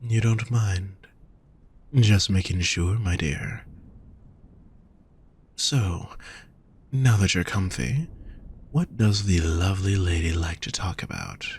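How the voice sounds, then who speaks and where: A man playing a gentle, protective demon lover in an intimate roleplay audio, soft, husky and reassuring, speaking straight to the listener. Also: sits low, expressive, heavily acted. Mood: soothing, tender. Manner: soft, reassuring, unhurried coaxing. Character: gentle, teasing, protective male demon lover at a fantasy brothel.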